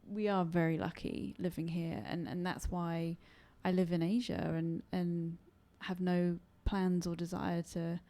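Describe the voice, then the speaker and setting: A woman, a podcast guest chatting casually, agreeable and quick with soft murmurs of assent. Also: lowish voice, level tone. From United Kingdom. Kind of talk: casual conversation.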